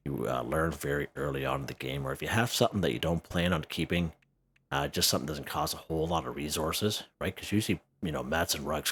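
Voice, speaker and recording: A man hosting a casual game walkthrough, chatty and a little hoarse, who keeps checking in with "okay?" and "right?". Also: rumbling low, fairly bright tone, a little hiss or hum. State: slightly hoarse. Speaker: gaming video host.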